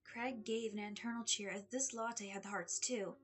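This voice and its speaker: A woman reading a story aloud in an expressive audiobook style, with a slightly husky voice. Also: medium pitch, moderate pace. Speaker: fan fiction reader. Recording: music under the voice. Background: background music.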